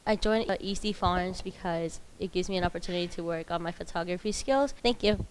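A teenage girl from El Salvador, a student, introducing herself quietly. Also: fairly low pitch, a little fast, smooth, low-quality recording. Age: teens.

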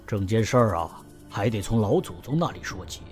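A man playing a measured, storytelling family elder. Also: fairly low pitch, lively intonation, darkish tone, soft background music, natural voice. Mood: explaining. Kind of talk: fan audio drama acting.

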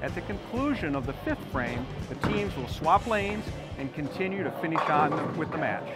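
Middle-aged male bowling host, fairly high voice, upbeat and explanatory, lapel mic in a bowling alley, loud music underneath. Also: very animated, normal speed. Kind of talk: bowling show hosting.

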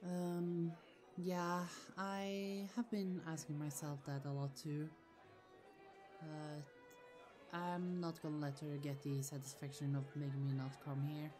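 Woman playing a low-pitched protective sorceress sister. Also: little pitch movement, takes it slowly, dark tone. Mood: reassuring, confiding. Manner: affectionate, chatty, firm when provoked. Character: protective, easily annoyed young sorceress older sister in fantasy world. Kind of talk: ASMR roleplay acting. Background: music.

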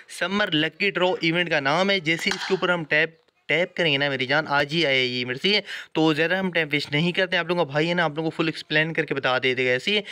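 A man's voice: fairly high pitch, bright, forceful tone. A man giving a chatty, casual gaming walkthrough, addressing viewers affectionately and repeating verbal tags. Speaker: gaming channel host. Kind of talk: casual game event walkthrough commentary.

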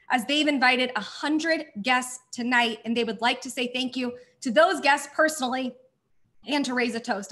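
A woman's voice: sits high, fairly bright tone. A woman hosting a virtual charity gala, thanking sponsors effusively while losing her voice. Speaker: gala host. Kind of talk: scripted event hosting.

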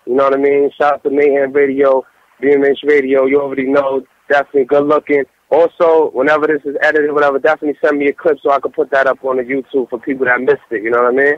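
A man, a rapper guesting on a radio show, chatting casually and giving shout-outs. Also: mid-range voice, little pitch movement, fast talker, no room sound. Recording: dull lo-fi radio audio.

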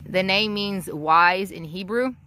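A woman's voice: medium pitch, takes it slowly, sharp tone, slight background noise. A woman vlogging on her homestead, chatting casually and laughing as she talks to her animals. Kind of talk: casual vlog chat. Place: outdoors in a farmyard.

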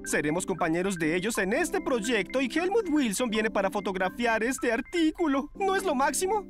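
High-voiced flamboyant agency boss. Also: male voice, big pitch swings, moderate pace, over music. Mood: excited, self-important. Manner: theatrical, gushing. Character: flamboyant, excitable young modelling agency boss. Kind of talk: animated series dubbing.

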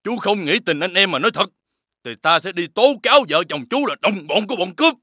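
Domineering, distrustful elder brother, animated tale: high, swooping, overbearing. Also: male voice, a little fast, no echo, studio quality, full character voice. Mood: accusing. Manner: overbearing, scornful. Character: greedy, suspicious, domineering rich elder brother. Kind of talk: animated fairy-tale voice acting. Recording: music behind the voice.

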